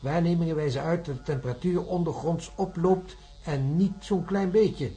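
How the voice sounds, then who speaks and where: A man's voice: a little high, normal speed, warm tone, faint music behind, lightly acted. An actor playing a matter-of-fact, resigned male scientist who reasons pragmatically in a science fiction radio drama.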